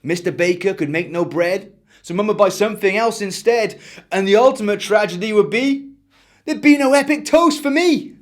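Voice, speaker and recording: A man voicing an excitable, grateful little boy in a children's story, exclaiming exuberantly. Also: sits high, moderate pace, voice pushed up, lightly acted. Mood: eager, earnest.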